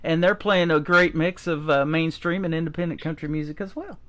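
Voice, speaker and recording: A man's voice: on the high side, a little fast, a bit bright. An older man hosting a radio interview, friendly and folksy with plenty of filler "uh"s. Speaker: radio host.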